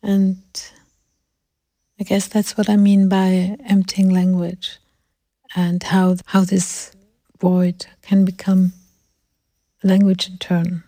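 Slow, mellow-voiced woman, thoughtful. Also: mid-range voice, monotone, dry recording. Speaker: host and artist. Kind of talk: radio interview.

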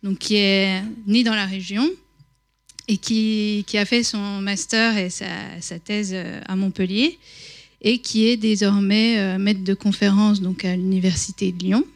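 A woman's voice: mid-range voice, steady tone, measured pace. A woman organizer introducing a public lecture, hesitant, with many fillers and restarts. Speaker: conference organizer introducing speaker.

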